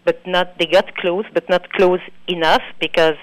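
Female documentary maker as interview guest, Belgian accent, French mother tongue, halting phrasing, very dry acoustic. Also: lowish voice, fairly even intonation, no room sound, rough recording. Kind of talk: radio interview.

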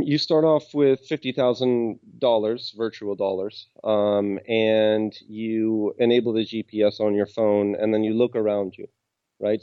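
A man's voice: lowish voice, dry recording, muffled recording. A man from the United States with an American accent, answering interview questions about his game company in a hesitant way, full of ums and restarts.

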